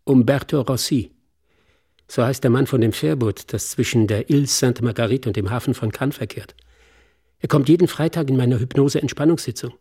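An actor playing a wistful, self-assured stage hypnotist with a musing, deliberate manner in a radio drama. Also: male voice, medium pitch, warm tone, slightly rough. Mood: knowing, composed. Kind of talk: German radio drama acting.

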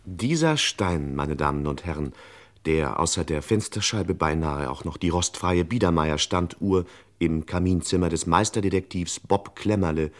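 An actor playing a pompous, deadpan male narrator of a parody detective thriller in an old radio play. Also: sits low. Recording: noisy old radio recording with clicks.

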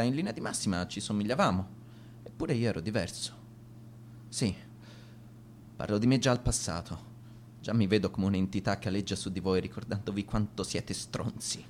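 An actor playing a bitter, despairing, sardonic schoolboy reading a farewell letter in a deliberate, wounded way, as a radio drama monologue. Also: male voice, fairly low pitch, slight background noise.

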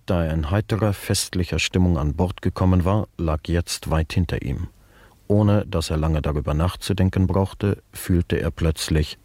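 A man's voice: deep voice, darkish tone, dry recording. A man narrating a radio play in a formal, matter-of-fact manner.

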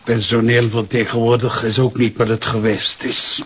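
An actor with a Flemish accent playing an imperious, cynical, ailing gentleman villain, his voice rough and husky. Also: mid-range voice, level tone, normal speed, slight background noise, close to an everyday voice. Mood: wry, bitter. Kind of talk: dramatic screen or radio acting. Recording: dull lo-fi sound.

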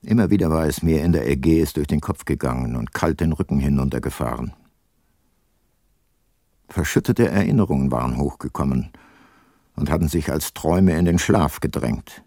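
An actor playing an ageing, weary private detective and former prosecutor, sober and reflective, in a radio play. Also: male voice, extremely low pitch, normal speed, mellow, no echo, studio quality. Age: older. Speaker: detective, narrator. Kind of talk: radio play narration and dialogue.

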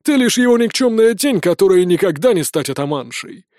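A man voicing a crude, mocking bandit leader with a booming, threatening manner in a fantasy audiobook. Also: animated, fairly quick, a little mellow, no echo, studio quality. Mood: scornful. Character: gruff menacing male bandit chief, crude and mocking.

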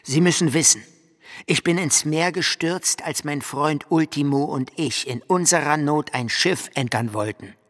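An actor playing an insistent, desperate drowned wanderer who speaks in halting fragments in a radio play. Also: male voice, a little high, a bit bright, dry recording, clean studio sound. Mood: insistent, pleading. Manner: halting, fragmented, rambling recitation, sometimes dropping to whisper. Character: insistent, desperate drowned wanderer demanding to tell his story. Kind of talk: German radio play acting.

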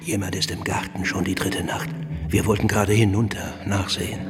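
A man's voice: sits low, little pitch movement, brisk, noisy background, natural voice. An actor playing an indignant, pompous older male intellectual in a German radio play. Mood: alarmed. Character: indignant old male intellectual, alarmed resident, pompously principled. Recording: music behind, reverberant hall.

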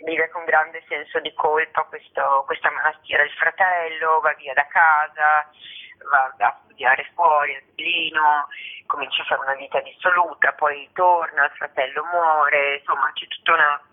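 A woman's voice: deep voice, fairly even intonation, moderate pace. Female interview guest, theatre performer, phoned in on a tinny line; unscripted, discursive delivery, frequent rephrasing. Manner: discursive, often rephrasing mid-sentence. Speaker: guest theatre actress and author. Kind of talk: spontaneous radio interview talk. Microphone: telephone. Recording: tinny, narrow-band telephone line.

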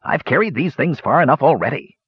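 A man's voice: on the high side, expressive, a little fast, breathy edge, no room sound, dull audio, pitched above the performer's own voice. A man voicing a crotchety old male dwarf hermit who talks stubbornly and curtly. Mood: impatient. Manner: stubborn, curt.

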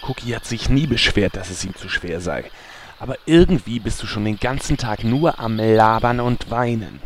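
A man's voice: fairly low pitch, moderate pace, a little hiss or hum, lightly acted. A man playing a grumpy, bossy former villain in a fantasy parody radio play, curt and scolding. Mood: irritated.

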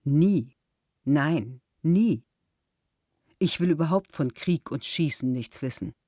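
A grave witness account. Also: male voice, fairly high pitch, lively intonation, slow, dark tone, no room sound, studio quality. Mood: grave, retelling. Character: witness recounting a friend's war story. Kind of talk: read-out witness statement.

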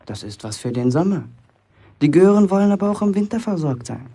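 An actor playing a boastful, self-important teenage apprentice bricklayer from a village, chatting colloquially in a radio play monologue. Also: male voice, sits high, lively intonation, brisk, mellow, husky, heavily acted. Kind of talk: German radio play acting, first-person monologue.

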